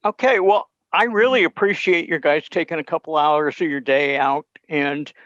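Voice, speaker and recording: A man's voice: a little high, steady tone, normal speed, a bit bright, no room sound. A man presenting in a casual, informal, musing way over a video call. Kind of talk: casual chat. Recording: dull video call audio.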